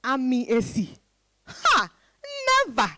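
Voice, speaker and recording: A woman's voice: a little high, very animated, slow pace, background noise. An actress with a Ghanaian accent playing a proud, independent professional woman in a dramatized reading, emphatic and exclaiming. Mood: exasperated, defiant.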